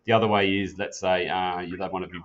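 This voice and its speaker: Man, deep voice, informal instructor, practical walk-through, plain-spoken, slightly muffled lo-fi recording. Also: level tone, fast. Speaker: instructor demonstrating an office phone system. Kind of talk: informal spoken product tutorial. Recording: dull, muffled lo-fi sound.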